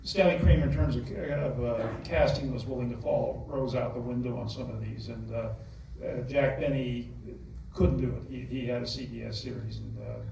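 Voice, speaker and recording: A man who is a film historian answers audience questions at a theater Q&A, halting and full of fillers as he piles up details. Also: medium pitch, fairly even intonation, creaky. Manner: halting, many fillers and restarts, detail-heavy. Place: large movie theater. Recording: echoey theater hall, distant mic, noisy.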